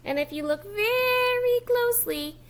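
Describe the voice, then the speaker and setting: A woman's voice: extremely high pitch, animated, unhurried, slight background noise. A woman preschool educator leading a read-aloud lesson for young children, speaking instructively and repeating key words. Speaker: preschool educator reading a picture book to children.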